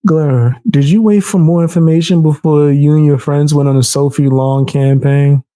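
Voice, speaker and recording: A man's voice: fairly high pitch, fairly even intonation, normal speed, warm tone, no room sound. A man hosting a casual reaction commentary, loose and laughing, often repeating himself and calling people bro. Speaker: commentary YouTuber.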